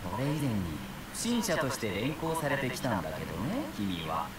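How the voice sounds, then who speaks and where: A man's voice: medium pitch, huge pitch swings, very fast, far from the mic, heavy background noise. An actor playing a dutiful young male Japanese police officer in a visual novel, exasperated but patiently questioning.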